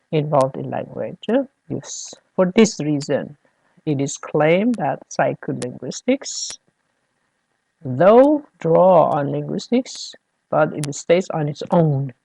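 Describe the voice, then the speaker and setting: Slow, gravelly lecturer. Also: mid-range voice, dark tone, no echo, poor audio. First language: Persian. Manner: hesitant, many fillers, keeps checking "all right?" with students. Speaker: university lecturer. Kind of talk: classroom lecture.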